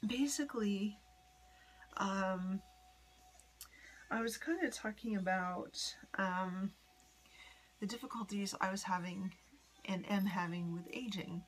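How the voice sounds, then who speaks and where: A woman in her forties from the United States, rambling in a personal vlog with many ums and soft whispery asides. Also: mid-range voice, slow, long pauses, low-quality recording. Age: 40s. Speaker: vlogger. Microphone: phone.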